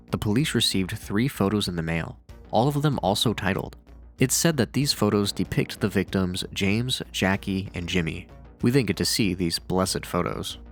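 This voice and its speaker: A man narrating scripted commentary on horror with casual, dryly sardonic asides. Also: sits low. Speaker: video narrator and reviewer. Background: faint music.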